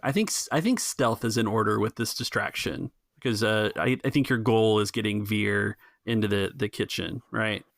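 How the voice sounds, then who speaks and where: Creaky-voiced man running a tabletop game. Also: fairly low pitch, moderate pace, few pauses, a bit bright, dry recording, clean studio sound. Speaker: game master and podcast host. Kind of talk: casual tabletop roleplay narration.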